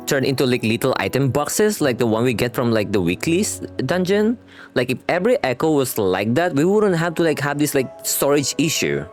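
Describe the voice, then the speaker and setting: A man doing casual, slangy game reaction commentary, rambling along and reading dialogue aloud. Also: mid-range voice. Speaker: game streamer reacting to a story quest. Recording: game music playing behind. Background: video game music and dialogue.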